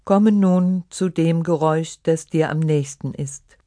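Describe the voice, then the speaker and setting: A meditation guide reading a scripted relaxation in short, measured, instructive phrases. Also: mid-range voice, mellow, no room sound, studio quality. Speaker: yoga nidra meditation guide.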